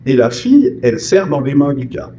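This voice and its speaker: A man's voice: medium pitch, big pitch swings, brisk, dark tone, muffled recording, slight background noise. A man from France with family roots in Martinique, telling stories about voice dubbing in a casual interview, acting out the lines he quotes. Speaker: voice actor and singer, guest.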